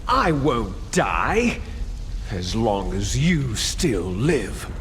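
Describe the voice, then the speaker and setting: A man's voice: mid-range voice, big pitch swings, drawn-out words, a bit bright, a little hiss or hum, exaggerated voice. A man playing a bitter, proud soldier villain in a video game, grandiose and taunting, drawing out his words dramatically. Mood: defiant.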